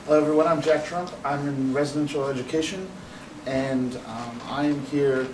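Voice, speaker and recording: A man presenting research at a conference panel, steady and explanatory with fillers. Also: on the high side, moderate pace, background noise. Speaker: residential education staff presenter. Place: classroom. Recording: echoey classroom, distant mic.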